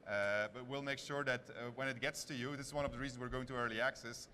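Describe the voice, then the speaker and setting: A man with a mid-pitched voice presents a live game demo and takes questions. He talks informally and haltingly, thinking aloud, with clear background noise from a busy convention hall. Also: fairly even intonation, bright, forceful tone. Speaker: game developer presenting on stage. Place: large convention stage with audience. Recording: noisy convention hall PA sound.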